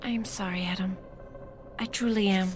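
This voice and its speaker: An actress playing a poised, enigmatic female news anchor in a video game, speaking in a measured, formal, polite way. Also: medium pitch, fairly even intonation, faint music behind, lightly acted. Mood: regretful.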